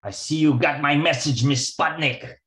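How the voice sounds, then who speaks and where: A man's voice: a little high, moderate pace, a bit bright, natural voice. Man playing a formal alien schemer, echoey. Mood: businesslike, menacing. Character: formal alien villain hiring a spy. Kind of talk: radio spoof acting. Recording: roomy, echoing sound.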